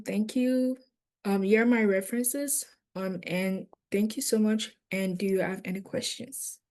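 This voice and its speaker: A woman's voice: mid-range voice, a little mellow. A woman student wrapping up her presentation and answering questions on a video call, polite with um fillers. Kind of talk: presentation closing and Q&A.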